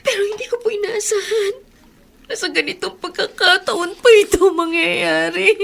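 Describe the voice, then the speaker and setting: A woman's voice: very high-pitched, fairly even intonation, normal speed, gravelly, fairly bright tone, exaggerated voice. An actress playing an anxious, remorseful young woman in a radio drama. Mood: anxious, pleading. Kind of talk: Tagalog radio drama acting.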